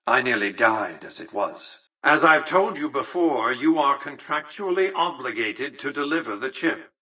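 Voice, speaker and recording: An actor playing a calculating, condescending old tycoon in a video game, speaking in formal, precise, pompous sentences. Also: male voice, medium pitch, animated, normal speed, sharp tone, breathy edge, recorded from a distance. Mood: stern, condescending. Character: imperious, calculating old male tycoon ruling a city. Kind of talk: scripted video game dialogue.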